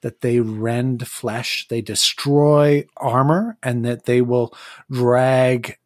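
A game master narrating a tabletop role-playing game in a descriptive way, pausing to search for words. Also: medium pitch, fairly even intonation, no room sound, clean studio sound.

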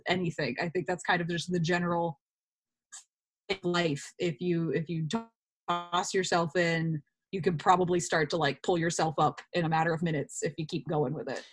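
A woman, an actor being interviewed, talking in a casual, animated chat. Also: lowish voice.

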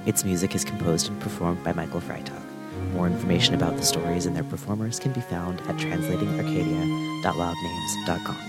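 A man's voice: sits low, fairly even intonation, fairly quick. A man reading a scripted credits announcement for a story podcast. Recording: prominent music under the voice. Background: loud instrumental music.

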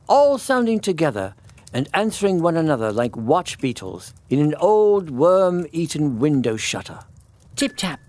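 Expressive male storyteller with lively pitch. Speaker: storybook narrator. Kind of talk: expressive scripted children's story narration.

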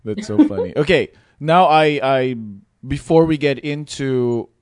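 A man's voice: medium pitch, unhurried, smooth, dry recording. A man hosting a podcast interview in a casual, teasing, joking way. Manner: jokey, teasing. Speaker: podcast host.

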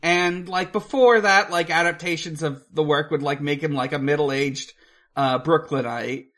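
A podcast co-host recapping a film casually, in a hesitant, halting way with searching pauses. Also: mid-range voice, steady tone, fairly bright tone.

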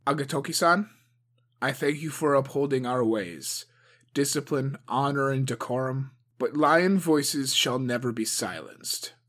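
Man playing a calm young clan lord, steady, commanding, story reading. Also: medium pitch, measured pace, voice pushed up. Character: young male clan leader, calm and measured.

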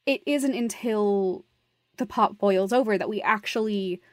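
A woman with a mid-pitched voice, recorded dry with no room sound. She speaks as a podcast co-host, casually analysing as she goes. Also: a little slow, no echo.

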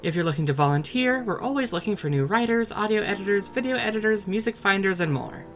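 A woman, the project director, reading a scripted outro with credits and links in a steady, friendly way. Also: mid-range voice, fast talker.

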